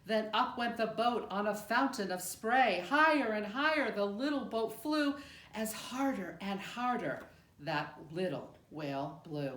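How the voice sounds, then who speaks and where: Woman reading a kids rhyming book out loud, really slow, roomy echo, lots of pauses. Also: medium pitch. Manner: expressive, measured storytelling with deliberate pauses. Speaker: storybook reader. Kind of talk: read-aloud of a rhyming children's picture book. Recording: roomy, echoing room sound.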